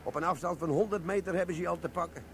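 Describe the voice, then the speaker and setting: A man's voice: high-pitched, rapid-fire, roomy sound, background noise. A man playing a sly old army sergeant. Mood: scheming. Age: 60s. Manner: sly, stammering, chuckling. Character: older army sergeant, sly, boastful schemer. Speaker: actor in radio play. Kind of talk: radio play acting.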